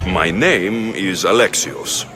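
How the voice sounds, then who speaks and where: An actor playing a blunt, self-assured Greek mercenary hero in a video game, speaking tersely. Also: male voice, low-pitched, takes it slowly, music in the background. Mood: guarded. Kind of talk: video game cutscene acting.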